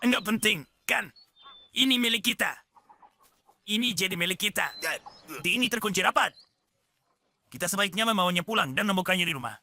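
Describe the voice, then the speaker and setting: A man voicing a bossy, greedy, excitable young caterpillar in a children's cartoon dub, with an exaggerated pushy delivery. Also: high voice, a little fast, long pauses, a little hiss or hum, exaggerated voice.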